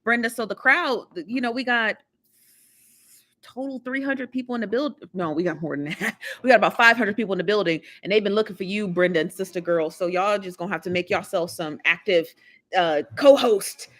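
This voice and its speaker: A woman's voice: mid-range voice, fairly quick, fairly bright tone. A woman hosting a live celebrity gossip show, chatty and teasing, often laughing as she talks. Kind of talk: casual chat.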